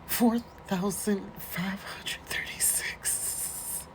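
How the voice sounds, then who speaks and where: A woman's voice: medium pitch, steady tone, extremely slow, in a whisper. An American woman doing a dramatic read-aloud with casual, mocking asides and exclamations, in a theatrical manner. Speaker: host doing a dramatic reading.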